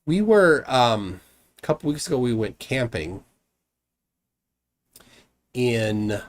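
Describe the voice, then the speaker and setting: Lively-pitched Minnesotan man hosting. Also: slow pace. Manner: rambling, self-interrupting, chuckling. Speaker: call-in show host. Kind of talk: casual late-night chat.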